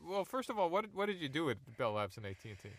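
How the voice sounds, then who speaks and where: A man hosting a radio interview, asking quick, prompting questions. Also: on the high side, very animated, a bit bright, dry recording.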